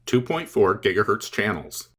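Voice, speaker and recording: A man reading scripted instructional narration as a tutorial presenter, explaining things in a measured, step-by-step way. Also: fairly low pitch, lively intonation.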